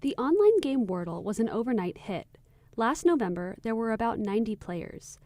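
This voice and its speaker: A woman's voice: a little high, very animated, normal speed, dark tone, no room sound. A woman co-hosting a student radio news show, presenting in a friendly, upbeat way. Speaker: co-host.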